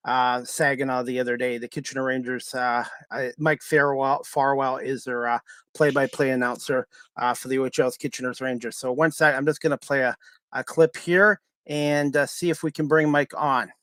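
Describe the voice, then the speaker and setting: A man hosting a live sports podcast, talking casually to his audience with a halting, hesitant delivery. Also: medium pitch, flat delivery, fairly bright tone, no room sound. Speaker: podcast host. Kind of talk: casual live sports talk with scripted-sounding news updates.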